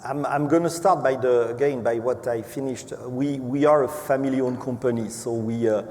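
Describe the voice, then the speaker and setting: Halting Frenchman in an echoey hall. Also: medium pitch, darkish tone. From France. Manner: halting, hesitant, repeats words. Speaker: company executive on a panel. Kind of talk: unscripted panel remarks. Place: large event hall. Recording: echoey hall with clear background noise.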